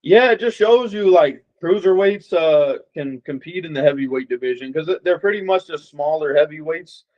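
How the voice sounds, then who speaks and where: A young man from Las Vegas chats loosely about himself, leaning on fillers as he goes. His voice sits a little high. The recording is muffled and lo-fi. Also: moderate pace, few pauses. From Las Vegas, USA. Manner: relaxed, rambling with many fillers. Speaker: boxer guest. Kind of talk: casual interview chat. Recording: dull lo-fi audio.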